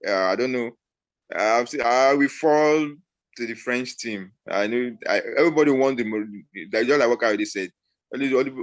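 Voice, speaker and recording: A fairly low male voice, recorded dry and close. He gives casual football punditry, speaking haltingly and circling back over his own phrases. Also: no echo. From Nigeria. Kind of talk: casual sports analysis.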